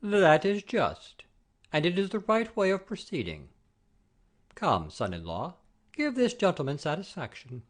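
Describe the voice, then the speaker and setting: A man's voice: sits high, huge pitch swings, unhurried, full character voice. A man playing an imperious, pompous father-in-law obsessed with honour and rank in a classic comedy audio play. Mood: pompous, scolding. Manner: commanding, pompous, formal.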